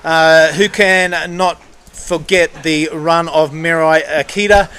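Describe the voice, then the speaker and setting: A man's voice: fairly high pitch, fairly even intonation, slow pace, fairly bright tone, clean tone. A man hosting live surf coverage in a casual, matey, enthusiastic way. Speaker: surf broadcast commentator and host. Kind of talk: casual live sports commentary.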